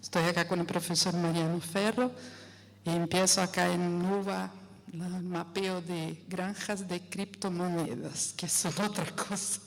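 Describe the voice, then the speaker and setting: Brazilian woman speaking halting Spanish. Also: fairly low pitch, fairly even intonation, gravelly. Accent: Brazilian Portuguese. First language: Portuguese. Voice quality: halting, searching for words. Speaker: audience member asking a question. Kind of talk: spontaneous remarks in a lecture Q&A. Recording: echoey hall, distant mic, noisy.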